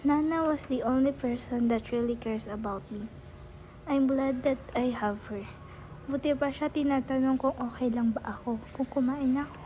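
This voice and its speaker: A woman's voice: high voice, normal speed, warm tone, close to an everyday voice, rough recording. A young woman with a Filipino accent playing a sad daughter who longs for approval, in a student play monologue. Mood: sorrowful. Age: teens. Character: sad, striving daughter longing for her mother's approval. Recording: faint background noise.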